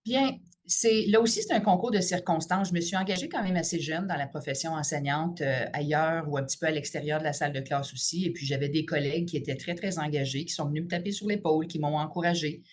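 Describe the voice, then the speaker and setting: Measured Franco-Ontarian woman interviewee. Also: low-pitched. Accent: Franco-Ontarian French. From Ottawa region, Ontario, Canada. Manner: measured, frequent "euh" and "là" fillers. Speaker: teachers' union president, guest. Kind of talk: podcast interview.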